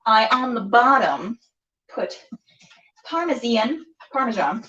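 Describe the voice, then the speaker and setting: A woman's voice: fairly high pitch, sing-song, slow, sharp tone. A woman hosting a casual, chatty cooking show, rambling playfully and talking to herself as she goes. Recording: echoey room, distant mic, dull sound.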